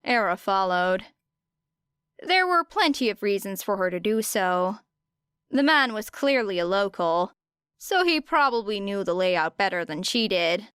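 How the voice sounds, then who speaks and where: A woman's voice: medium pitch, lively intonation, a little slow, a bit bright, smooth, dry recording, clean studio sound. A woman reading scripted story narration as an audiobook narrator.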